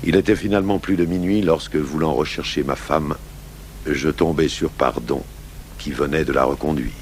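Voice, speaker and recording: Seasoned police commissioner, extremely deep, reflective storyteller for radio drama, slight hiss from 1980s tape. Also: male voice. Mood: reflective, sombre. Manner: measured, reflective, literary phrasing. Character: seasoned male police commissioner recalling an old case, measured. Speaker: narrator and lead actor. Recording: hissy 1980s radio recording, low hum.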